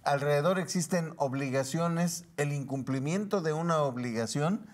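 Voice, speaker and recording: A man's voice: on the high side, moderate pace. A man with a Mexican accent speaking as a commentator on a panel talk show, explaining legal terms step by step in a didactic way.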